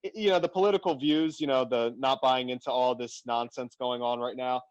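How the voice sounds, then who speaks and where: A man from Afghanistan talking bluntly and animatedly in a casual podcast conversation, quick to laugh. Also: medium pitch, fairly even intonation, no room sound, lo-fi sound. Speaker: podcast guest.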